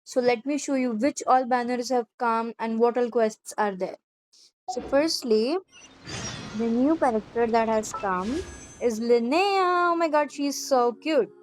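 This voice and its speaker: Slow-paced, higher-voiced woman doing gameplay commentary. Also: poor audio. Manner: informal, addresses viewers directly. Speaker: gaming video host. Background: faint game music.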